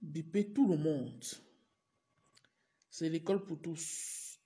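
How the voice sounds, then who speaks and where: A woman's voice: deep voice, slow pace, warm tone. A woman teacher with a cold, speaking casually in a live stream and urging her viewers again and again. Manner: repetitive, urging viewers over and over. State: has a cold, clears throat and coughs. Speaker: teacher livestreaming to followers.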